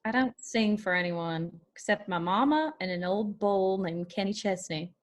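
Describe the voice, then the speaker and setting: A woman playing a guarded, sharp-witted young country singer in a screenplay table read. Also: mid-range voice, normal speed, natural voice. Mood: guarded, playful. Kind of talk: screenplay table read, with some soft singing.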